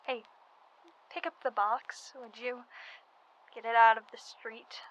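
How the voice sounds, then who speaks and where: A woman's voice: on the high side, flat delivery, takes it slowly, a bit bright, rough recording. A young woman playing a grim, matter-of-fact pandemic worker in a radio drama. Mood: flat, businesslike. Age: young adult. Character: grim, weary woman tending flu dead in 1918.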